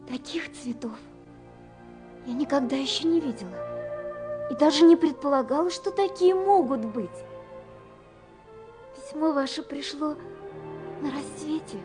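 A woman's voice: sits high, expressive, measured pace, long pauses, over music. An actress playing a tender, dreamy young woman in love, reading her letters in a wistful, intimate way for a radio drama. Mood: wonder-struck. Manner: wistful, intimate, softly wondering.

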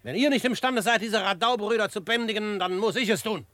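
A plucky young greenhorn in a Western radio drama. His voice is fairly high for a man, frank and firm. The recording is dry, with little room sound. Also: brisk, a bit bright, no echo. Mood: fed up, commanding. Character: plucky young frontier newcomer, frank and self-assured. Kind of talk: German radio drama acting.

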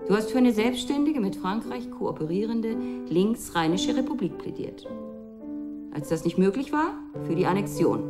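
A mid-pitched female voice with clear plucked guitar music behind, an actress playing a sharp-tongued woman in a period radio play. She is articulate, challenging and reproachful. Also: natural voice. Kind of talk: German radio drama acting.

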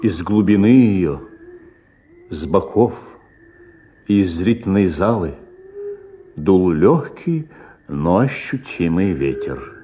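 A male narrator reads literary prose for radio. His voice is very low and mellow, and he phrases slowly and deliberately. Faint noise sits behind him. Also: sing-song.

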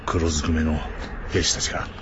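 A man's voice: extremely low pitch, level tone, normal speed, dull audio, background noise. A man acting a grim, resolute ex-soldier who leads an expedition in a radio drama, speaking tersely with brooding intensity.

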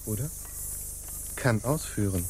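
An actor playing a deferential, uneasy pirate underling in a radio play, halting and servile in his delivery. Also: fairly low pitch, lively intonation, warm tone, slight background noise. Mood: uneasy, obsequious. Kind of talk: stage-like radio play acting.

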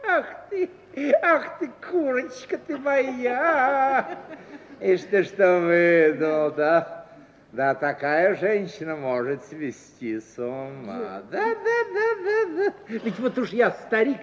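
An actor playing a doting, jovial old uncle who fusses and repeats himself in theatrical 1950s Russian radio style. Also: male voice, high-pitched, very animated, slow pace, long reverb tail, full character voice. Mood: adoring, flustered. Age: older. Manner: chuckling, repetitive, avuncular, theatrical. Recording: dull vintage 1950s radio recording.

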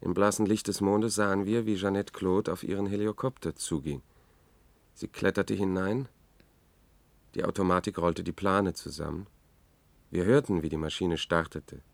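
An actor playing a weary, sober journalist who narrates a tragedy in a radio drama, measured and grave. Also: male voice, low voice, fairly even intonation, gravelly, no room sound. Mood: grave, regretful.

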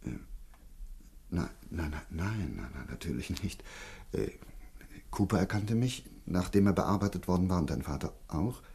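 An actor playing an earnest, protective middle-aged investigator in a German radio drama, hesitant and repeating himself. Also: male voice, sits low, animated, normal speed, darkish tone, slightly rough, exaggerated voice. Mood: tender, awkward. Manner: hesitant, stammering repetitions, earnest.

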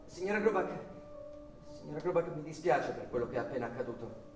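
A man's voice: on the high side, animated, fairly quick. An actor playing an agitated, over-polite male bank employee in an emphatic, repetitive Italian radio theatre style. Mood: nervous, courteous. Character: agitated male bank employee lodger, courteous then explosively indignant. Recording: roomy, distant from mic, music behind.

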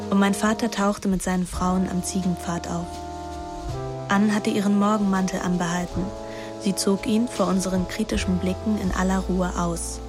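A woman's voice: medium pitch, natural voice. Actress as a reflective teenage girl with music behind. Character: sensual, carefree teenage daughter narrating a summer holiday. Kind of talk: intimate literary radio drama narration.

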